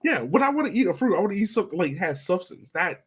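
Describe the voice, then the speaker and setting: A man's voice: sits high, big pitch swings, fast, a crowd in the background. A man hosting a casual sports podcast, chatting in a blunt, opinionated way. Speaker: podcast host.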